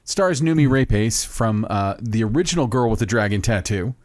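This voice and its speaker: A man's voice: lowish voice, lively intonation, normal speed, darkish tone, dry recording. A man co-hosting a podcast, talking enthusiastically and rambling about a film he loves. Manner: enthusiastic, rambling, self-interrupting. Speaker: podcast co-host. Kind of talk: casual podcast chat.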